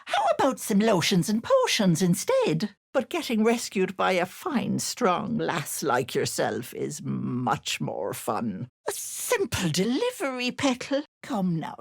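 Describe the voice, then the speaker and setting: Game role: older potion-selling witch auntie, syrupy and scheming, slow delivery with wildly animated pitch. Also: vocal fry, no echo, studio quality, heavily acted. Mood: sugary, scheming. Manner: cooing endearments laced with menace. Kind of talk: video game character voice lines.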